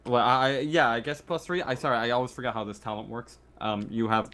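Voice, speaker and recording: A man running a tabletop game as game master in a casual way, with hesitant, halting explanations. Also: medium pitch, fairly even intonation, fairly bright tone.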